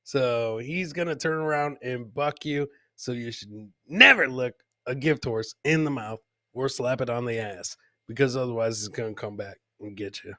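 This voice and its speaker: A man's voice: medium pitch, moderate pace, bright, forceful tone, no echo, studio quality. A man hosting a comedy podcast, casually commenting on funny video clips and repeating his own catchphrases. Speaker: podcast host.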